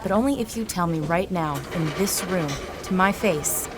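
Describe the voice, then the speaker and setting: A woman's voice: lowish voice, normal speed, background noise, natural voice. An actress playing a hard-drinking, wisecracking, world-weary female private eye in a noir detective audio drama. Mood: insistent, pleading. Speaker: lead actor in a science fiction noir audio drama.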